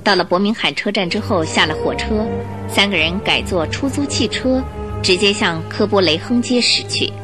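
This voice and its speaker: A woman reading scripted narration for a Mandarin radio drama with expressive storyteller phrasing. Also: medium pitch, moderate pace, music in the background.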